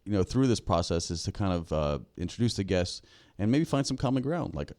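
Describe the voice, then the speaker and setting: Smooth, very deep-voiced male podcast host. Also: lively intonation, a little fast, darkish tone. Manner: chatty, with frequent fillers and backchannels. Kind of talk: casual interview chat.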